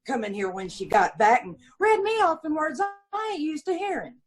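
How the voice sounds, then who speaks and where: A woman's voice: high-pitched, sing-song, normal speed. A woman playing a gossipy, aggrieved middle-aged landlady and mother of five, talking folksy and ungrammatical in old-time radio style. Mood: indignant. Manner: folksy ungrammatical talk, indignant and self-pitying. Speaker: witness in radio police drama.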